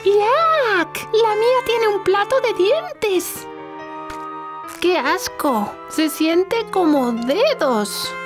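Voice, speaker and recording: A performer voicing an excitable, squeamish small child in a children's cartoon dub. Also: very high-pitched, drawn-out words, music in the background, full character voice. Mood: excited, squeamish.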